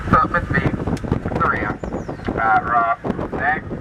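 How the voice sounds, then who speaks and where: A middle-aged Barbadian man working as a tour guide, chatting and joking with his group in a relaxed way with many filler sounds. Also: mid-range voice, slow. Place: open jeep driving outdoors. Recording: loud wind and engine noise, distant mic.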